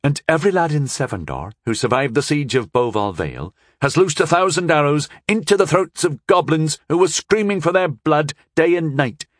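Fierce, proud noblewoman, played by a male narrator for fantasy audiobook. Declamatory, confident, pitched up. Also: sing-song, normal speed, hardly any pauses, no echo, studio quality. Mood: proud defiance. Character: proud, saucy frontier noblewoman, fierce young wife.

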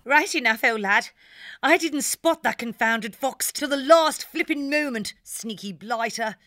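A man's voice: extremely high pitch, a little slow, bright, forceful tone, no echo, clean studio sound, pitched above the performer's own voice, full character voice. A man voicing a plucky young female hare with brash, old-fashioned slang. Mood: indignant. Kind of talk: audiobook character voice.

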